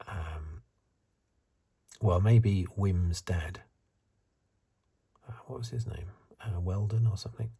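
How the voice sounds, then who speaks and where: A man giving soft-spoken, half-whispered ASMR commentary in a husky, breathy voice, musing and rambling as he goes. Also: low-pitched. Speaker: ASMR reviewer.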